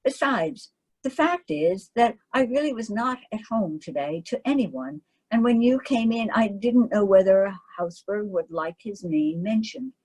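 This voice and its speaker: Jovial, teasing gentleman, a woman reading him aloud, breathy storybook voice. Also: mid-range voice, animated, unhurried, low-quality recording. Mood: explaining. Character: amused, worldly young gentleman painter.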